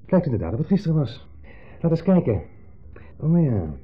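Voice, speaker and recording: A man's voice: on the high side, sing-song, a little fast, husky. A male actor playing a smug, needling ex-husband in a 1970s Dutch radio drama, theatrical and falsely jovial in an insinuating way. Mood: nostalgic, needling. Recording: muffled old 1970s radio recording.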